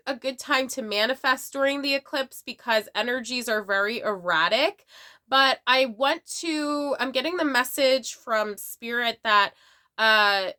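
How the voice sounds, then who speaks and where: A woman's voice: a little high, pushed and bright. A woman giving a casual tarot reading, bubbly and chatty, often tagging her lines with "okay?", a little sick and sniffly. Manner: bubbly, tags lines with "okay?" and "all right?". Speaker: tarot reader.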